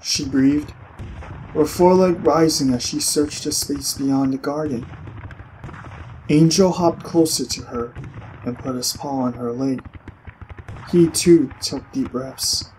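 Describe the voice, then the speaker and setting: A man reading scripted story narration in a measured, expressive way with deliberate pauses. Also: medium pitch, a little hiss or hum, rough recording. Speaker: audiobook narrator. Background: music.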